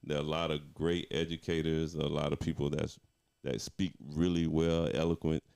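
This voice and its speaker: A middle-aged man, a music director, talking as a guest in a casual interview, reflective and relaxed with frequent restarts. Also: rumbling low, moderate pace, creaky, clean tone.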